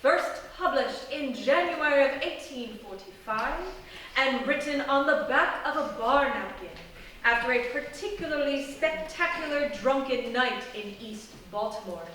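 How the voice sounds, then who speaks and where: A woman with a fairly high voice narrates as a mock-serious literary host, theatrical and scholarly. She is heard at a distance in a very echoey theatre, with faint noise. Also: a little slow, pushed and bright. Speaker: host of literary parody segment. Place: small theatre stage before an audience.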